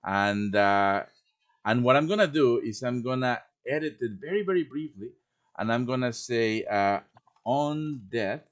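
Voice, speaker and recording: A man hosting a casual step-by-step software tutorial on a live stream, thinking aloud informally as he works. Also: mid-range voice, animated, measured pace. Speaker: live stream host teaching quest making. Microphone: computer microphone on a live stream voice chat.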